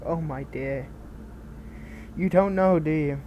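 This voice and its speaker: A woman playing a protective, bitter but caring fallen hero in an audio roleplay, speaking intimately and earnestly with halting, dramatic pauses. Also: deep voice, dull audio, a little hiss or hum. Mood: tender, pitying. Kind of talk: scripted audio roleplay addressed to the listener.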